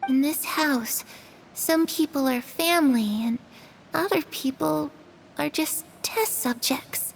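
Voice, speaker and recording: A woman voicing a frail, timid young girl who speaks slowly and hesitantly and slips into eerie whispers. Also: high-pitched, gravelly, slight background noise, full character voice, low-quality recording. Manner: slow, hesitant, drifting into whispers. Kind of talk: video game dub acting.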